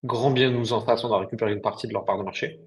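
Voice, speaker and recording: Male podcast co-host, quick and blunt, casual business talk. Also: lowish voice, steady tone. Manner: brisk, blunt, slangy explanations. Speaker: podcast co-host, agency founder.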